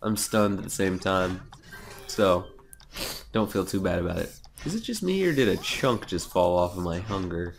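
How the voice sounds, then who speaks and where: A man's voice: deep voice, animated, moderate pace, gravelly, a little hiss or hum. A young man with a cold, nasal and sniffly, giving casual, sarcastic let's play commentary and swearing now and then. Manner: sarcastic, self-mocking, swears.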